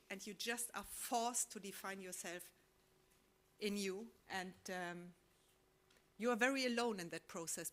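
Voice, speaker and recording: A woman giving a public talk, reflective and halting, posing rhetorical questions and restarting her words often. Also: mid-range voice, a bit bright. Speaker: conference speaker. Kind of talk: public talk sharing collected testimonies.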